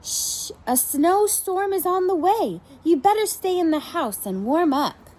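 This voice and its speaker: A woman's voice: very high-pitched, sing-song, a little slow, darkish tone, exaggerated voice. A woman voicing a caring farm girl from a storybook, with a tender, concerned manner.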